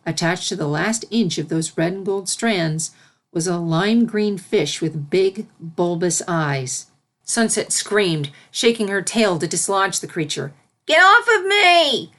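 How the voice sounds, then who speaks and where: A woman reading a fantasy story aloud as its narrator, in scripted narration. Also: medium pitch. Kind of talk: scripted narration of fan fiction.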